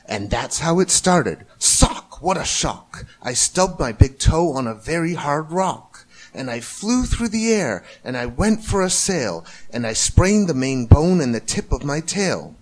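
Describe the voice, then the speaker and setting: Highly animated man reading a rhyming story. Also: unhurried, poor audio. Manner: theatrical. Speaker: storybook reader. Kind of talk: expressive rhyming children's story narration.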